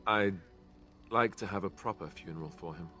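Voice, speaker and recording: A man's voice: low voice, moderate pace, pushed and bright, soft background music. An actor voicing a young male warrior in a fantasy video game, earnest and self-doubting as he grieves his mentor. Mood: subdued.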